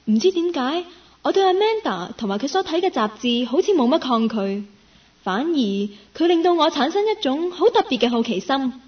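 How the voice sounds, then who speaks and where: A woman's voice: high voice, very animated, moderate pace, muffled recording. A woman acting a curious, friendly young woman in a radio drama, with a Hong Kong Cantonese accent, both narrating and in dialogue. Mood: musing. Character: curious, friendly young woman, part-time worker, nicknamed Meow.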